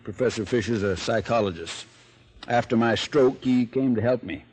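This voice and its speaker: A gruff, wealthy old American man played by a male radio actor, proud and secretive. He speaks bluntly and gives orders, in the manner of classic mid-century radio drama. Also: medium pitch, normal speed, darkish tone, lightly acted. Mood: guarded, confiding. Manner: blunt, commanding. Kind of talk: 1940s-50s American radio drama acting.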